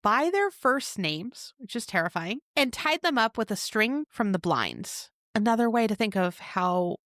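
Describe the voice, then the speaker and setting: A woman co-hosting a podcast, telling a crime case in a chatty way with quick asides. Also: fairly high pitch, sing-song, a bit bright, dry recording, studio quality. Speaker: podcast co-host. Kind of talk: casual true crime chat.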